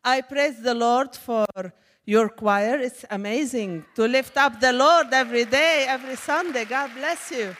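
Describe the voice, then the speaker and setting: A woman's voice: high voice, measured pace, slight background noise. A woman from Syria with a Syrian Arabic accent, a native Arabic speaker, giving a testimony to a church congregation in an emphatic preacherly manner. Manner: warm preacherly, rising to emphatic exclamations. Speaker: guest speaker. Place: church sanctuary. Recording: echoey church hall.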